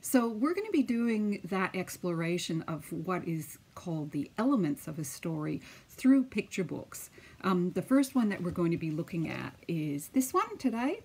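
A woman in her fifties, a teacher, speaking to pupils and reading a children's picture book aloud with deliberate, emphatic phrasing. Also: on the low side, big pitch swings, darkish tone, husky. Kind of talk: children's storybook read-aloud.

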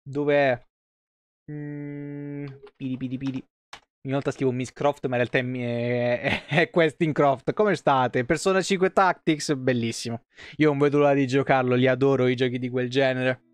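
A man's voice: medium pitch, fairly even intonation, moderate pace, clean tone, no room sound. A man in his thirties with a tiring voice, reading game lore aloud on a livestream with casual asides. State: voice tired and giving out. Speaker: game streamer. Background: soft plucked guitar music.

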